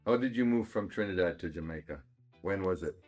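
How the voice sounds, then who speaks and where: Low-voiced male interviewer. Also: fairly quick, husky, soft background music. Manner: calm, direct questioning. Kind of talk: interview questions.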